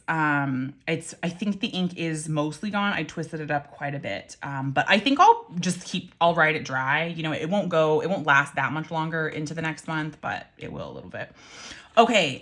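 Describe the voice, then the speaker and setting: Slow, low-voiced woman chatting casually. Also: a bit bright. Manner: chatty, rambling, frequent ums and self-corrections; wry, mildly swearing asides. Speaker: pen and ink reviewer. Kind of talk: casual review vlog.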